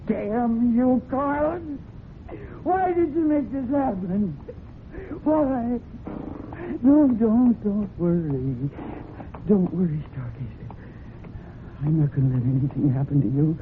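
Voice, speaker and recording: A woman's voice: mid-range voice, close to an everyday voice. Actress as a fraught horsewoman on muffled old radio. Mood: anguished, horrified. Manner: fraught, breathless, emotionally heightened delivery. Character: distraught, fiercely protective horsewoman narrating her own crime story. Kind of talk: dramatic old-time radio acting with first-person narration. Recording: muffled phone-like old radio recording with hiss.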